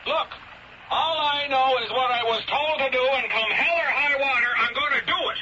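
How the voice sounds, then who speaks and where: A man's voice: very high voice, moderate pace. An actor playing a stubborn, loyal seaman who talks bluntly and insistently over a boat radio in an old-time radio drama. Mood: firm. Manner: blunt, insistent, plain-spoken. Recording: dull noisy radio drama recording.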